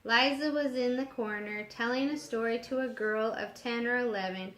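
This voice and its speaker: High-voiced woman reading a story, roomy echo. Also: normal speed, fairly bright tone. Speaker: children's story reader. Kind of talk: read-aloud storytelling of a children's novel.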